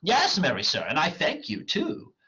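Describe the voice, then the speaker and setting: A man playing a cheeky, indignant stage clown, bright. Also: fairly high pitch, wildly swooping pitch, moderate pace, breathy edge, lo-fi sound, voice pushed up, heavily acted. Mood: jesting. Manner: theatrical, exclaiming. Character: poor, jesting, grown-man clown servant, cheeky and indignant. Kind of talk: Elizabethan stage comedy reading.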